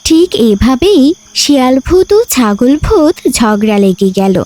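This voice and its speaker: A woman's voice: high voice, very animated, unhurried, warm tone. A woman playing an instructive mother who narrates a children's cartoon story expressively. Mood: storytelling. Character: instructive mother telling a bedtime tale. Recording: music under the voice.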